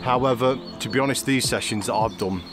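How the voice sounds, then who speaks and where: A man's voice: mid-range voice, fairly quick, over music. A man narrating his own fishing trip outdoors by a drain, chatty and easygoing, often repeating himself. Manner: chatty, repeats "it really does". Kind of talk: casual outdoor vlog narration. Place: outdoors on a fenland drain bank.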